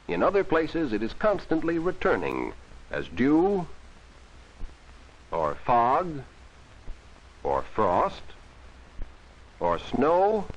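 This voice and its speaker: Man, vintage 1940s film soundtrack, children's educational narration, very animated swooping pitch, instructive, simple explanations. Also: slightly rough, low-quality recording.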